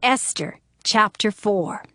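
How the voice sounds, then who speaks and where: A woman giving solemn, measured dramatic narration of scripture, with music behind her. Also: mid-range voice. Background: background music.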